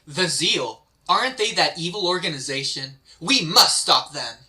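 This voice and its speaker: A skeptical fantasy quest companion read by a woman, bright and sharp in tone. The delivery is lively and questioning, puzzled by everything in this amateur table read. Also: lowish voice, normal speed. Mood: puzzled, earnest. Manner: incredulous, questioning.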